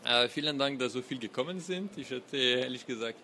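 A man's voice: mid-range voice, brisk, bright, forceful tone, a little hiss or hum. A young man from France with a French accent, a non-native German speaker giving a hesitant live talk at a book fair. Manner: hesitant, many filler sounds. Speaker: guest author. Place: fair hall stage.

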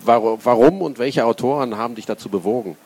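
A man's voice: mid-range voice, quick pace, a little mellow. A man hosting an interview in a conversational way with hesitant fillers. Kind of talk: interview questions.

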